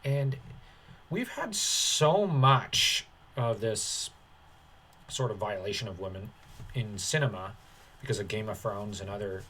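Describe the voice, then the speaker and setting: A man hosting a commentary channel, talking casually and haltingly, with a dismissive, sarcastic streak. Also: on the low side, animated, sharp tone, husky, poor audio.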